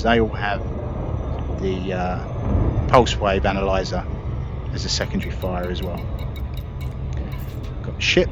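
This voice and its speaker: A man explaining a space game step by step as he plays, in a relaxed walkthrough with small restarts. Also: fairly low pitch, background noise. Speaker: gaming video creator. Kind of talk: explaining gameplay while playing. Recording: dull lo-fi audio with game music behind. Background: game music.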